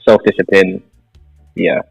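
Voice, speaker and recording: A man's voice: unhurried, dull audio. Young man chatting, music behind. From London, England. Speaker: radio guest. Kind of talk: casual reflective interview chat. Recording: background music under the talk.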